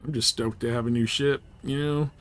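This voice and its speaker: A man's voice: medium pitch, steady tone, a little fast. A man chatting casually and informally as he livestreams a video game, laid-back in manner. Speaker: live streamer. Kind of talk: casual gaming livestream chat.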